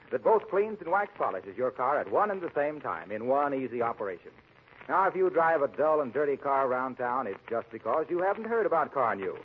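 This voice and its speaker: A man announcing in polished 1940s radio style, delivering an emphatic sponsor pitch. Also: a little hiss or hum. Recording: tinny 1940s radio broadcast.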